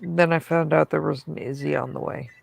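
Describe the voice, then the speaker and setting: Gravelly chatty woman host. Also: low voice, steady tone, a little fast. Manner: chatty storyteller, quotes herself in asides. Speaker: stream host. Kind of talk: casual group chat on a stream.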